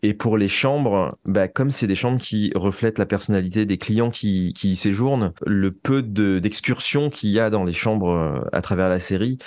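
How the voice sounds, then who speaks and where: A man with a low voice talks in a conversational interview, recorded dry and close. He is reflective, pausing and circling as he looks for the right word, like a writer explaining his craft. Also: darkish tone, no room sound. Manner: hesitant, reflective, searching for words. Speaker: interview guest, audio fiction writer and composer. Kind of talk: conversational interview about creative work.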